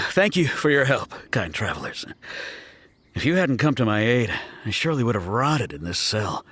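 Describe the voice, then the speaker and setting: A man's voice: medium pitch, sing-song, a little slow, bright, forceful tone, slightly rough, heavily acted. A man playing a courteous, genial antiques hunter in a video game, speaking politely with a theatrical salesman's manner. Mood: weary, grateful. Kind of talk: animated video game voice acting.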